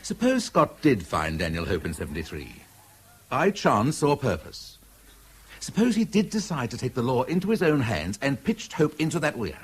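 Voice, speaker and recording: A brusque senior British detective in a radio drama. He barks orders and muses aloud with lively swings of pitch, in a slightly rough, husky voice. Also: moderate pace. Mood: suspicious, musing. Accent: British English. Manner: brusque, commanding, musing aloud. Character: gruff, domineering senior police detective, a man.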